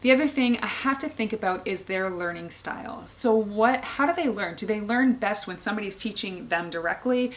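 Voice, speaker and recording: A woman's voice: mid-range voice, normal speed, hardly any pauses. Earnest mother talking to camera. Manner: earnest, thinking aloud with frequent ums. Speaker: homeschooling mother. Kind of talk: casual talk to camera.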